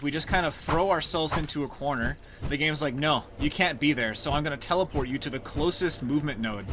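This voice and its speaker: A man with a mid-range voice commentates casually on his live speedrun. He is joking and tongue-in-cheek, with clear noise and clicking from the game behind him. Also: medium pitch, bright, forceful tone. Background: game sounds and clicks.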